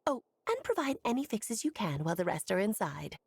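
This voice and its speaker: A woman voicing a confident adult female mage who speaks in an airy, poised and gently bossy way in video game dialogue. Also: mid-range voice, very animated, brisk, creaky, a little hiss or hum. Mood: breezy.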